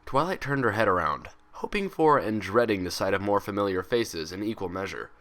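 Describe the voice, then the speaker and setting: Male audiobook-style narrator, low pitch, sharp bright tone, expressive scripted delivery. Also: brisk. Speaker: fan fiction reader.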